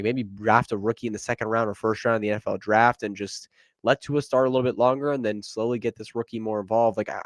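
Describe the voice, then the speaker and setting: A man's voice: on the low side, no echo. Congested man hosting a sports podcast. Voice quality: nasal. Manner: energetic, conversational, quick asides. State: congested, stuffy nose. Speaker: podcast host. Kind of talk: solo sports podcast analysis.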